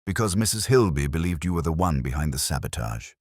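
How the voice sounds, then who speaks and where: A quiet, shrewd police inspector from a mystery audiobook, acted by a male reader, very low and slightly husky. He probes in measured murmurs, with a dry, close sound. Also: big pitch swings, no room sound, full character voice. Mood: quietly probing.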